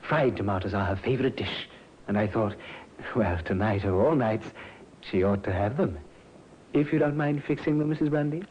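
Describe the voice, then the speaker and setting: An actor playing a smooth-talking, outwardly doting husband in an old radio drama, courteous and theatrical. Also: male voice, fairly low pitch, normal speed, close to an everyday voice. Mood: courteous, casual. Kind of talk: 1950s radio drama acting. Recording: muffled old radio recording, background noise.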